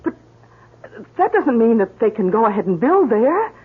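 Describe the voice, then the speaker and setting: An actress playing a worried, uneasy wife in a 1970s radio drama, with an expressive, hesitant delivery. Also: female voice, on the high side, moderate pace, husky, full character voice. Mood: dismayed. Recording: muffled old radio broadcast.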